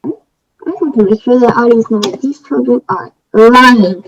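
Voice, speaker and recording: A woman's voice: a little high, moderate pace, muffled recording, lightly acted. A woman reading the part of an indignant accused witch in readers theater.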